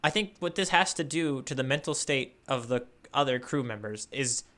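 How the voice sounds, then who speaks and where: A man with a very bright, forward voice talks casually as a podcast co-host. He works through his thoughts out loud, doubling back on words as he goes. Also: medium pitch, expressive, normal speed. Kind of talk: casual chat, manga discussion.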